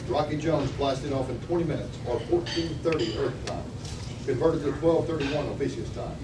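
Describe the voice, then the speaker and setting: A man's voice: mid-range voice, normal speed, lightly acted. A man reading the part of a scheming spy villain giving orders by radio in a retro space script. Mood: conspiring. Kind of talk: table read of a retro space adventure script. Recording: roomy distant mic, clear background noise.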